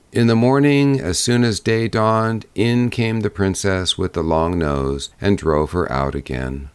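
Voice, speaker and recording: Male audiobook narrator for fairy tales, low register, measured storytelling, dry close sound. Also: clean tone, no room sound. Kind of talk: scripted fairy-tale narration.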